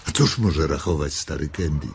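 Bitter, deep-voiced lonely stable hand. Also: male voice, moderate pace, slight background noise. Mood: weary, musing. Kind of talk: Polish radio drama acting.

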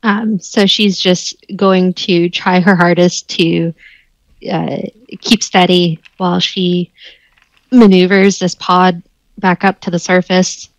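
A woman's voice: on the low side, little pitch movement, takes it slowly, no room sound, low-quality recording. A woman playing a tabletop role-play, describing her character's actions hesitantly. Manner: hesitant, with fillers. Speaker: player.

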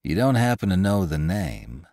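Man doing calm young herdsman, low quiet measured voice, audiobook, dry. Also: creaky, dry recording, heavily acted.

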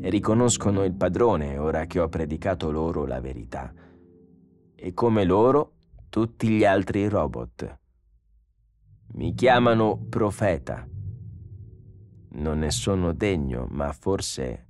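A man voicing a calm, pious robot prophet who speaks slowly and deliberately with unshakable self-assurance. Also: low voice, faint music behind, pitched below the performer's own voice. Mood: calm, solemn. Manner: slow, deliberate, preachy delivery.

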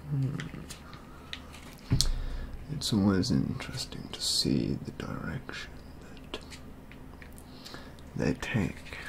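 A young man acting as a pompous, rapturous painter of collectible models in an ASMR roleplay. He whispers very slowly with heavy creak, close to the microphone. His ornate phrases are halting and deliberate, full of fussy reverence. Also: lowish voice, full character voice. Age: 20s. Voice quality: breathy whisper. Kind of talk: soft-spoken ASMR roleplay. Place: indoor studio with plain backdrop.

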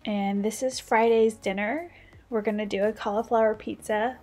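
A woman's voice: a little high, fairly even intonation, moderate pace, a little mellow, soft background music. A woman in her thirties, a stay-at-home mom, giving a casual, practical voice-over as she cooks and shares kitchen tips. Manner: chatty, practical, explains tips as she goes.

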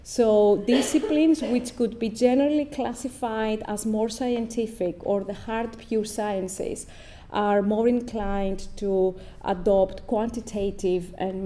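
A woman in her thirties with a Greek accent giving a conference presentation as an academic, explaining in a halting, self-correcting way. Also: medium pitch, warm tone, slight background noise. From Greece. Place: indoor stone-walled venue, at a lectern. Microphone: lectern microphone.

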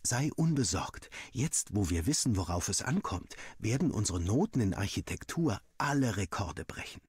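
Male narrator for a first-person story, lowish pitch, lively intonation, acts out the dialogue. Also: moderate pace, breathy edge, no room sound, studio quality. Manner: animated storytelling. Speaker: audiobook reader.

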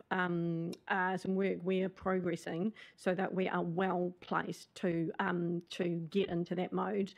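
A woman's voice: medium pitch, vocal fry. A woman on council staff introducing the next speakers at a council meeting, hesitant with many fillers. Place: council chamber, large meeting room.

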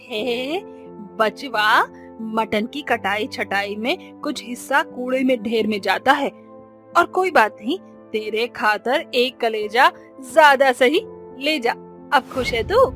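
A woman's voice: on the high side, slow pace, fairly bright tone. A woman voicing a greedy, cunning village mother-in-law who sells mutton in a cartoon story, sly and wheedling. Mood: coaxing. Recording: music behind the voice.

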